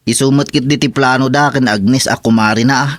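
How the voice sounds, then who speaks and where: A man's voice: mid-range voice, fairly even intonation, a little fast, dry recording, lightly acted. Man as a resigned rural farmer, dry sound. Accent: Ilocano. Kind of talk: Ilocano radio comedy drama acting.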